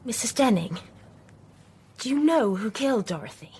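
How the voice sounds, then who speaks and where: A woman's voice: on the high side, animated, moderate pace, darkish tone, husky, exaggerated voice. An actress playing an earnest, anxious young girl in a radio drama, questioning urgently. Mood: probing. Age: young adult.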